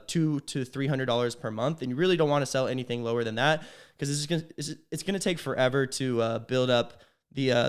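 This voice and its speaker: A young man talking through a business tutorial in a loose, conversational way, tagging his points with "right?". His voice is smooth and resonant underneath, with a slight rasp from a cold. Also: mid-range voice. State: a little sick, slightly raspy. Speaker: agency owner teaching business sales.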